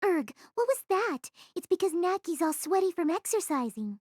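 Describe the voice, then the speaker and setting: A woman's voice: very high voice, very animated, normal speed, a little mellow, no echo, studio quality. A woman playing a chatty, bossy, cheerful teenage schoolgirl in an anime-style audio drama, perky and teasing.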